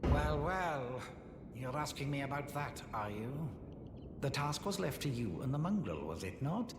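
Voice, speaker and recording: Haughty sorcerer in echoing hall. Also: male voice, medium pitch, sing-song, measured pace. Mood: sneering, grudging. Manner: sneering, condescending, pompous. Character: haughty, spiteful, scheming sorcerer. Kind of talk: video game character dialogue. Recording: echoing hall reverb, noisy game capture.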